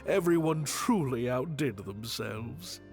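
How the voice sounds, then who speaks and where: An actor playing a proud, diplomatic theater director in a video game, speaking in a polished public manner. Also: male voice, medium pitch, animated, takes it slowly, faint music behind. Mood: modest pride.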